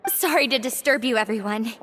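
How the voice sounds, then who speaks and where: A woman voicing a polite, gentle young female prisoner in a video game, speaking tactfully. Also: on the high side, fairly quick, slight background noise, lightly acted. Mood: apologetic, polite. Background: background music.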